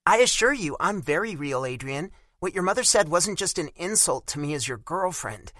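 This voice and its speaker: A man's voice: fairly high pitch, lively intonation, normal speed, no echo, clean studio sound, voice pushed up. A man voicing an irritated young American woman who talks bluntly and with sarcastic bite. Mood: angry. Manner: sharp, sarcastic, cutting.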